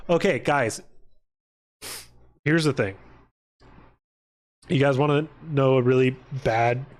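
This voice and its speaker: Slow-paced man chatting on a livestream. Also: medium pitch. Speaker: live streamer. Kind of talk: casual chat to stream viewers.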